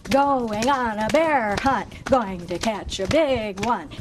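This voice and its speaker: A woman with a slightly high voice reads a picture book to young children. She takes her time, falling into an expressive sing-song chant and drawing the listeners in as a teacher would. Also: slow pace, noisy background. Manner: asks listeners questions like a teacher. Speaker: volunteer story reader for children.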